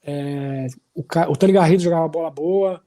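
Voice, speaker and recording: A man in his forties from São Paulo with a Paulistano accent, a musician telling stories as a podcast guest in a relaxed, rambling way. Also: on the high side, moderate pace, a crowd in the background. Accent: Paulistano Brazilian Portuguese. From São Paulo, Brazil. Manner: relaxed, rambling, with frequent tag questions. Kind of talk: casual storytelling chat.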